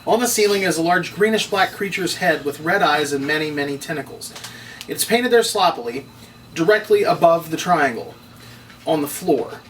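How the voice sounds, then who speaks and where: A man's voice: on the high side, lively intonation, normal speed, a bit bright. A man narrating stage directions as he reads from a script at a table read. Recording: distant mic, noisy room.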